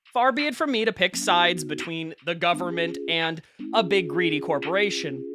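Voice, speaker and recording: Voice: medium pitch, sharp tone. A performer playing an earnest, wry conspiracy podcast host, delivering a conversational, mock-serious, emphatic monologue.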